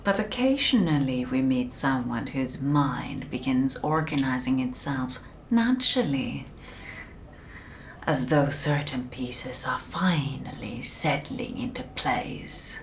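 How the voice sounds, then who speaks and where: A woman playing a calm, controlling institute specialist in a soft, breathy ASMR hypnosis roleplay, often whispering, with slow, soothing, clinical delivery. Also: rumbling low, a little hiss or hum, full character voice. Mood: measured, knowing. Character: calm, controlling female institute specialist conducting mind conditioning.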